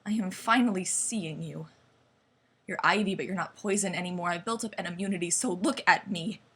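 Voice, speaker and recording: A young woman reciting slam poetry in a deliberate, dramatic way with weighted pauses. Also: on the low side, fairly bright tone. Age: young adult. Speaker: slam poet. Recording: clearly noisy amateur recording.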